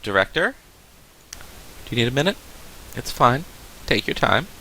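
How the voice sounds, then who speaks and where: A man playing a probing therapist doctor in a science fiction video game, with a measured, dry way of talking. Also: medium pitch, very animated, sharp tone, a little hiss or hum, low-quality recording. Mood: patient.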